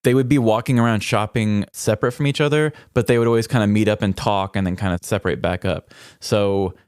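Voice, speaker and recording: Low, clear-voiced man chatting. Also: fairly even intonation, a little fast, a little mellow, dry recording, clean studio sound. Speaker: podcast co-host. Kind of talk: casual humorous chat.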